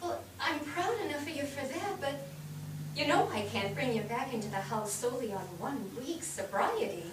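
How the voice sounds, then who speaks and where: A woman playing a burdened, firm nineteenth-century wife and mother in a staged reading, speaking in a measured way. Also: high-pitched, animated. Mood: measured, guarded. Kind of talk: staged reading of a period drama. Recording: echoey theatre, distant mic, faint noise.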